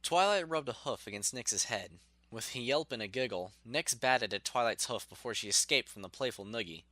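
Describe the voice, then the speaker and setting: A man's voice: medium pitch, expressive, moderate pace, bright, forceful tone, no room sound. A man narrating a scripted story expressively, in the manner of an audiobook reader.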